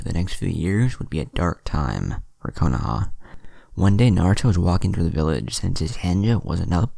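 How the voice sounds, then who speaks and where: A man reading a fan fiction story aloud in a plain, steady way, with the odd slip. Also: sits low, vocal fry, no room sound.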